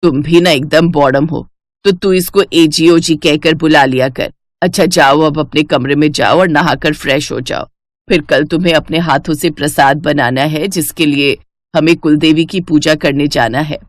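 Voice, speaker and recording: A woman voicing an older, traditional village mother-in-law in a cartoon, bossy and skeptical, with a slow homely scolding manner. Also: sits low, fairly even intonation, dry recording, clean studio sound, voice pushed down, natural voice. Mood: scolding, instructing. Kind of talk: Hindi moral-story cartoon acting.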